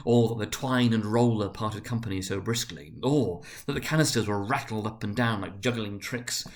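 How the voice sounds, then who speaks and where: A man reading classic prose aloud as a storyteller, in an expressive, deliberate, dramatic narrating manner. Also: on the low side. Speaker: narrator, reader.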